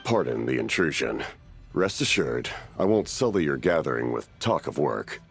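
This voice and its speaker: An actor playing a solemn, imposing, courteous gentleman warrior in a video game, gravelly and formal in his phrasing. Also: extremely low pitch, big pitch swings, exaggerated voice. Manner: formal, measured, polite. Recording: music under the voice.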